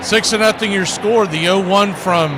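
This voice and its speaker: A fairly high-pitched man calls a baseball game play by play in short, live phrases. A loud wash of outdoor-like noise runs behind his voice. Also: normal speed. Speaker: baseball play-by-play announcer, host. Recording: very noisy outdoor-sounding ambience behind.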